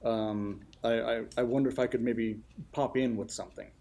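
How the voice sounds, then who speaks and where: A man, a podcast engineer, chatting casually in a hesitant, halting way. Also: medium pitch, fairly even intonation, gravelly, background noise. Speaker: podcast engineer and sound designer. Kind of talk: casual chat.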